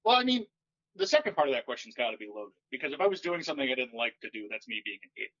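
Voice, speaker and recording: A man who does stand-up comedy, talking with wry, deadpan storytelling in a fairly monotone voice. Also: medium pitch, very fast, lo-fi sound. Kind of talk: casual podcast chat.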